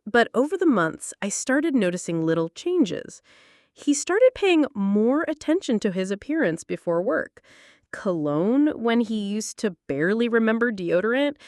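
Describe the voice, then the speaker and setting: Woman, late twenties, confessional storyteller; lively intonation, dry close mic. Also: medium pitch, dry recording. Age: late 20s. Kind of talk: first-person storytelling narration.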